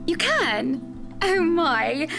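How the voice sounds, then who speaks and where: High-pitched, refined, playfully teasing young lady for a visual novel; formal phrasing, music behind. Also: very animated, drawn-out words, vocal fry, full character voice.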